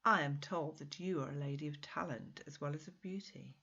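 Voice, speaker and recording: A woman's voice: low-pitched, fairly quick, creaky, pushed and bright, slightly rough, muffled recording, exaggerated voice. A woman voicing a charming, confident Portuguese man in a story, courteous and flirtatious, with polished English.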